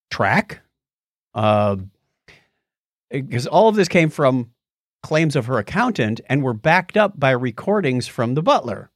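A man with a mid-pitched voice talks casually as a podcast co-host. He retells a story conversationally, stepping aside for little comments. The sound is close and dry, with no room on it. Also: no echo. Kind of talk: casual chat, recounting a documentary.

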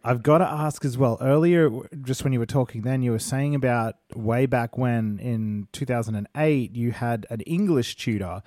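A man's voice: medium pitch, normal speed, few pauses, a little mellow, no room sound, clean studio sound. A man hosting a podcast interview, encouraging his guest and asking follow-up questions. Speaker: podcast host. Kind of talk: casual interview chat.

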